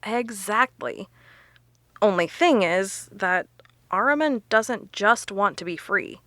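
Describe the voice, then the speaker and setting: A confident researcher in an audio drama. Her voice is bright and forceful, recorded close and dry, and she lays out her theories with emphasis. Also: mid-range voice, animated, takes it slowly, dry recording. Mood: explaining, intent. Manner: emphatic, explanatory.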